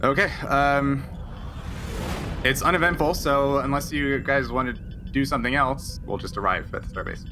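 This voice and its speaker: A man running a tabletop game as game master, improvising and chatting casually in halting, restarted sentences. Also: mid-range voice, music in the background.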